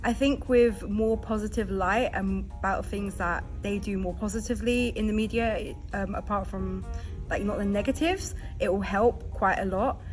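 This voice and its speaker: A woman's voice: mid-range voice, moderate pace. A British-accented woman giving casual, tentative interview answers, often saying "like". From United Kingdom. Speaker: interview participant. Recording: music behind the voice. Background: background music.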